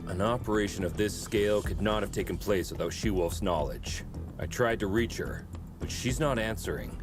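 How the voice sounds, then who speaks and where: An actor playing a grave, formal law officer in a video game cutscene. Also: male voice, fairly low pitch, moderate pace, lightly acted. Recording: game music behind.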